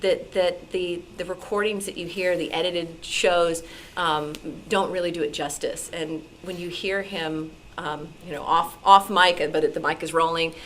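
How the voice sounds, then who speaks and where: Lowish-voiced woman thinking aloud. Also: fairly even intonation, measured pace, few pauses, fairly bright tone, a little hiss or hum, low-quality recording. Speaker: club discussion participant. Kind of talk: casual, thoughtful discussion.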